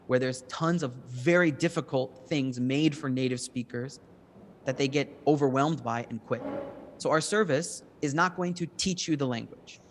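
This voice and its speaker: A man answering judges' questions as a start-up presenter, speaking in an explanatory, assured manner. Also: medium pitch. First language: English. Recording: long hall reverb.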